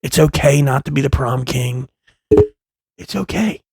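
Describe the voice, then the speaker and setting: A man in his thirties talking in a casual, rambling monologue, profane and impassioned, with many restarts and a loud voice. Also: medium pitch, a little slow, creaky, husky, no echo, studio quality. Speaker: vlogger, father.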